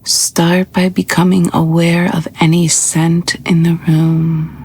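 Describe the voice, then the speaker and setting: A woman with a soft, breathy, husky voice guiding a scripted sleep meditation in a slow, soothing, hushed manner. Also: fairly low pitch, little pitch movement, a little hiss or hum. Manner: slow, soothing, hushed delivery with long pauses. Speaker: yoga nidra meditation guide.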